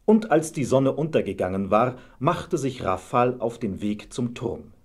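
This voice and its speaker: A man's voice: medium pitch, big pitch swings, a little slow, darkish tone, slightly rough. A man narrating a fairy tale as a storyteller, reading from a script.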